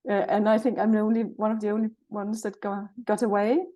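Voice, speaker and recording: A woman's voice: mid-range voice, flat delivery, brisk, mellow. A woman in her sixties from Denmark with a Danish accent, chatting cheerfully as a guest and thinking aloud while she speaks. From Jutland, Denmark. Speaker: guest, naturopath and herbalist. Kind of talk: conversational interview.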